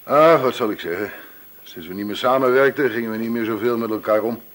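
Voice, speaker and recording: An actor in a radio play voicing an affable but evasive factory worker, an offhand bachelor given to grumbling. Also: male voice, medium pitch, fairly quick, fairly bright tone, natural voice. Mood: offhand, polite. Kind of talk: radio drama dialogue.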